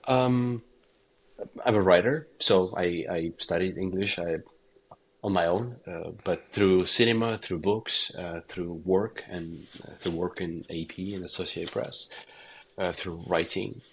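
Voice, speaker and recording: A man with a Ukrainian accent talks through his answers haltingly, circling back and repeating words before finishing a thought. His voice sits very low. It has a husky, breathy grain. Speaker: documentary director, interview guest. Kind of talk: casual interview answers.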